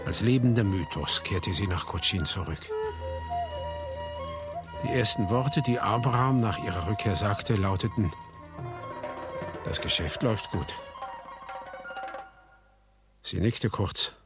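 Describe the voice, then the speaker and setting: A man's voice: lowish voice, expressive, slow pace, long pauses, over loud music. A man narrating a literary radio drama from a script.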